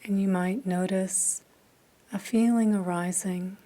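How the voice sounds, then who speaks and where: A woman leading a guided meditation, speaking softly and calmly with long pauses between phrases. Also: medium pitch. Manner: slow, soft, calm with long pauses. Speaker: meditation teacher.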